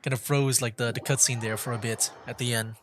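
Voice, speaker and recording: A man's voice: medium pitch, steady tone, brisk, a bit bright, a little hiss or hum. A man giving casual, reactive livestream commentary on a game. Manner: chatty, reactive. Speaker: game streamer.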